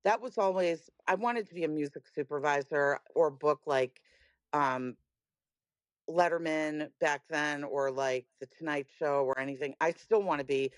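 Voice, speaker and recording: Raspy woman, candid podcast guest, bold emphatic delivery, dry mic. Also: low voice, normal speed, dry recording. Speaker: guest, music booker. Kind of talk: podcast conversation.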